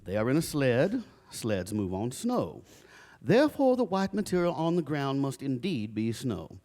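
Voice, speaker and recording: A man's voice: medium pitch, big pitch swings, unhurried, mellow. Man playing a pompous, flustered father, swooping intonation. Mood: pompous, reasoning. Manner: formal, pompous, hesitant. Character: flustered, dignified father improvising answers for his children. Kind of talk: live stage audio drama. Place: recreation center stage, live performance.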